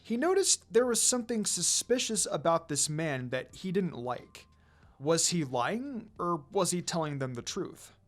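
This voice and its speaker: Expressive story narrator with lively pitch. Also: measured pace. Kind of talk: expressive scripted fan fiction narration. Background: background music.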